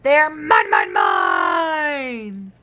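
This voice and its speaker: A bratty young storybook dinosaur boy played by a woman, possessive and greedy. The voice leaps high and swings widely, with loud, bright yells and wails. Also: huge pitch swings, extremely slow, vocal fry, heavily acted. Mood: greedy, yelling. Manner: possessive, yelling and wailing. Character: greedy bratty young male dinosaur who grabs everything.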